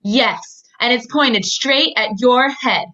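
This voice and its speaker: A woman's voice: a little high, slow pace, bright, forceful tone, lo-fi sound, a little hiss or hum. A woman playing a coy, dangerous femme fatale in a 1940s-style noir radio parody, with theatrical delivery. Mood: coy, menacing.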